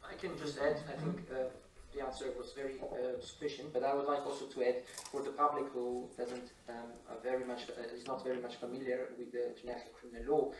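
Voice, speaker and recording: A man speaking as an academic panelist, explaining to an audience in halting, repetitive, non-native English phrasing. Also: mid-range voice, level tone, a little hiss or hum. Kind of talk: informal explanation of law to an audience. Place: indoor conference room. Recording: echoey room, slightly distant mic.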